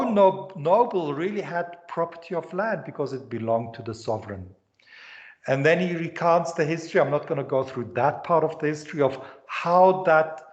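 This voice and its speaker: A man with a Swiss accent lectures students in a meandering way, circling back and restarting his phrases. His voice sits a bit high. The recording is echoey and muffled, as from a lecture hall. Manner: rambling, repeats words and restarts often. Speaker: university lecturer. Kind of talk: economics lecture. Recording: echoey lecture room, dull lo-fi sound.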